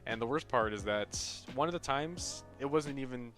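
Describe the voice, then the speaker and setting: A young American man delivers casual comedic commentary with a sarcastic edge. He swears freely, and soft background music sits under his voice. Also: medium pitch, normal speed. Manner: sarcastic, profane. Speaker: video creator.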